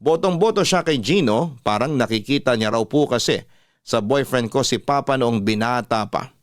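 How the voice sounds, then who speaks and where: A man's voice: medium pitch, normal speed, no room sound, studio quality. A man with a Filipino accent reading a scripted first-person letter as a storyteller in classic radio style. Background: music.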